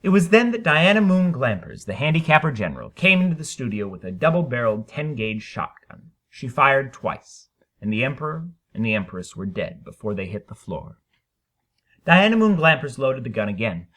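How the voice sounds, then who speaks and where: A man's voice: mid-range voice, very animated, a bit bright. A man narrating a short story as a dramatic reading, with theatrical, emphatic delivery. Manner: theatrical, emphatic, deliberate phrasing.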